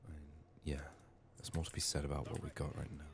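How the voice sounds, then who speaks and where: Very deep, soft-spoken man, often whispering. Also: flat delivery, fast talker, gravelly, background noise. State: sick, resting a strained voice. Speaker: video game streamer.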